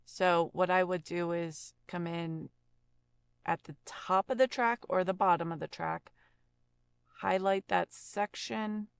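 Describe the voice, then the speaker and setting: A woman instructing in a casual step-by-step software tutorial, explaining each step plainly into a close mic. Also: lowish voice, fairly even intonation, slow, vocal fry, smooth, no room sound.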